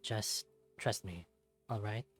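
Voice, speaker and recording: A man voicing a suave, guarded raccoon in a visual novel, soft-spoken and measured, with a bitter edge under the teasing. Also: lowish voice, breathy edge, faint music behind.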